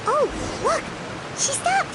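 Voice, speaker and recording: A woman voicing a small, nervous, chatty fairy-like girl companion in a video game, with a squeaky, childlike voice. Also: lively intonation, slow, bright, forceful tone, heavily acted. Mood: excited, urgent.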